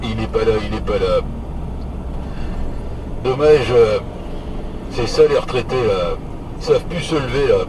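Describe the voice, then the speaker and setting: A man's voice: expressive, unhurried, pauses often. Hesitant man on a noisy radio chat. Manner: hesitant, repeats words and phrases. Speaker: amateur radio operator hosting a radio contact. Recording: noisy radio audio, vehicle rumble, distant mic.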